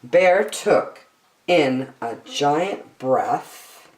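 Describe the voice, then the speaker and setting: A woman with a lowish voice reads a children's story aloud. She is theatrical and unhurried, with very lively intonation. The sound is roomy and echoey. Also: recorded from a distance. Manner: theatrical, deliberate phrasing. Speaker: storytime reader. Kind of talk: children's picture book read-aloud.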